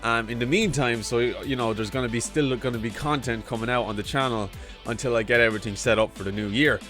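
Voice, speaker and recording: Excitable man hosting a reaction video, faint music. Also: medium pitch, fast talker. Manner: hyped, repeats lines he enjoys, says dude a lot. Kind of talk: casual chatty reaction commentary.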